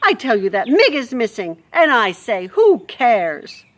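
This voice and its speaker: A woman's voice: very high-pitched, sing-song, takes it slowly, pushed and bright, voice pushed up, full character voice. A woman doing a scornful, scolding housekeeper. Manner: scolding, declaring. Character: tall, sharp-tongued housekeeper woman, dismissive.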